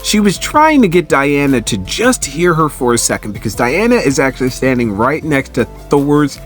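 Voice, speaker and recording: A man giving a casual, enthusiastic story recap as a host, with an animated, excitable way of telling it. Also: fairly high pitch, normal speed. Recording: music under the voice. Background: background music.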